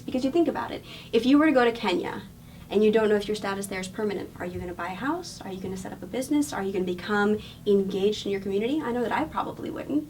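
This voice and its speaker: A woman's voice: medium pitch, darkish tone, distant mic, a little hiss or hum, low-quality recording. A woman with a non-local accent answering interview questions in an earnest, explanatory way, often repeating words as she thinks. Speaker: guest.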